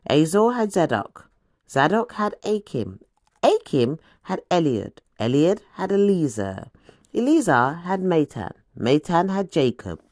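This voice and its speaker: A woman reading scripture as scripted audiobook narration, with a deliberate, dramatic storytelling delivery. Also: deep voice, a little mellow, dry recording, clean studio sound.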